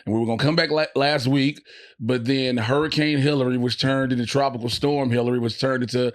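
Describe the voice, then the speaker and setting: A man co-hosting a podcast in casual group banter, telling stories with animated slang and plenty of swearing. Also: medium pitch, brisk. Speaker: podcast co-host.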